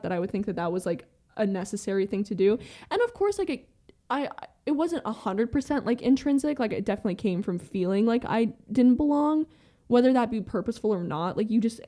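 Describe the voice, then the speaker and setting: A young woman talks in a loose, rambling stream, leaning on "like" and dropping swear words. Her voice is mellow and warm rather than bright, with pitch sitting in a middle range for a woman. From American with Iranian family. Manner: fast rambling talk, heavy use of "like" and swearing. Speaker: podcast guest. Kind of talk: candid casual conversation.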